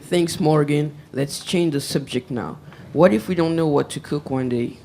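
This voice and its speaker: Teen host voice, mid pitch, careful scripted read, light background hiss. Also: moderate pace, creaky, darkish tone. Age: teens. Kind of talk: reading a school radio script.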